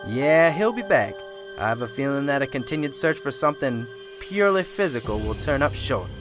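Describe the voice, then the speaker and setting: A man playing a scheming church leader who speaks in a smug, measured, quietly menacing way, with eerie music underneath. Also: medium pitch, very animated, lightly acted. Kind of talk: amateur radio drama acting.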